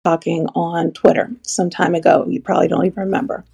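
A woman's voice: fairly low pitch, flat delivery, fairly quick, creaky, mellow, slightly rough. A woman leaving a casual, chatty, self-deprecating message as a listener, her voice a little scratchy from allergies. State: allergies affecting voice. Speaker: podcast listener sending a voice message.

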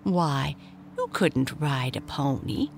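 A woman's voice: low-pitched, big pitch swings, slow pace, gravelly, slight background noise, heavily acted. A woman doing a teasing rich father. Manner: teasing, gently doubtful. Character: rich father, half teasing, good-natured.

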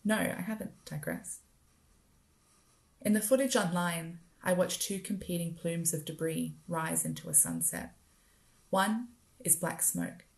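An Australian woman reading her own literary writing aloud in a measured, deliberate, poetic way. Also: fairly low pitch, big pitch swings, vocal fry. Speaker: writer performing own work. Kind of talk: literary spoken-word reading.

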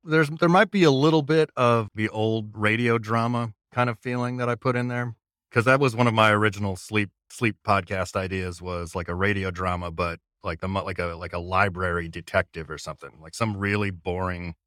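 A man's voice: on the low side, steady tone, fairly quick, a bit bright, no echo, studio quality. A man chatting casually as an interview guest, hesitant, modest and understated in how he talks. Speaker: interview guest, audio fiction podcast creator.

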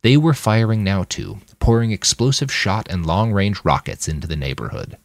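A man with a low voice narrates a work of fiction in the manner of an audiobook. His delivery is dramatic and animated, with wide swings in intonation and measured, clipped phrases. The sound is close and dry, free of room echo. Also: gravelly. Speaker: author reading his own novel on a podcast.

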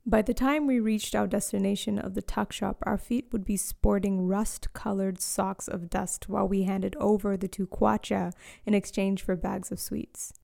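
Late-twenties woman, mellow and lightly gravelly, reading a reflective first-person story with quiet, lyrical pacing. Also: mid-range voice. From born in Eswatini to Zambian parents, raised in South Africa, Australia and Canada. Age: late 20s. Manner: slow, reflective, lyrical reading with soft hushed lines. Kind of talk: scripted personal narration.

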